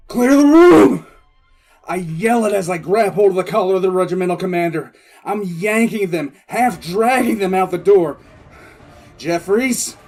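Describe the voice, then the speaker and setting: An actor playing a frantic, bitter military commissar in an audio drama, telling his story in halting, intense first person. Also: high-pitched. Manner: halting, intense, repeats words. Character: male military commissar, wounded, frantic, bitter survivor recounting disaster.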